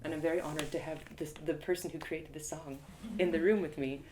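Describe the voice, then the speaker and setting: A woman reading a story live in an expressive, theatrical way, as host and narrator. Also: low-pitched, quick pace, a little hiss or hum. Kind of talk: animated first-person storybook narration. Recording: echoey room.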